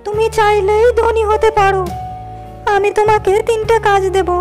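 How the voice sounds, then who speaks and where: A woman voicing a kind, wise fairy in a cartoon, speaking in a gentle, reassuring way. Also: very high-pitched, flat delivery, moderate pace, soft background music.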